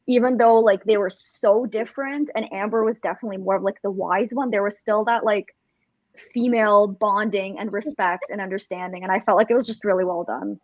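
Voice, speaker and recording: A woman chatting casually and earnestly in a book discussion, halting as she searches for words, on dull livestream audio. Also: medium pitch, fairly even intonation, dry recording.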